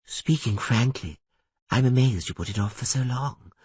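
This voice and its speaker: A man voicing a falsely sweet sorceress in an audiobook reading, in a husky voice with a sugary, drawn-out manner. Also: medium pitch, a little mellow, no echo, voice pushed up.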